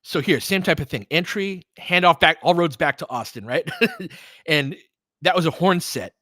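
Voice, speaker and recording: A man's voice: fairly high pitch, moderate pace, pushed and bright, no room sound, studio quality. A man hosting live basketball commentary as a partisan fan, mixing clipped play calls with conversational tactical breakdowns. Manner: partisan fan calling plays in short clipped phrases, explaining conversationally.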